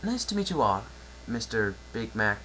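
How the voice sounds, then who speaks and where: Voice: mid-range voice, very animated, moderate pace, fairly bright tone, a little hiss or hum, poor audio. A performer voicing an awkward, lovestruck royal pony princess of the night in a cartoon fan-fiction reading. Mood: flustered.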